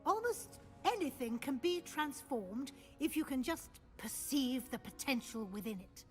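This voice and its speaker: An actress playing a stern, dry-witted older woman professor in a video game, speaking in a formal, measured way. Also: high voice, animated, a little mellow, soft background music. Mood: commanding, wry. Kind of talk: video game cutscene acting.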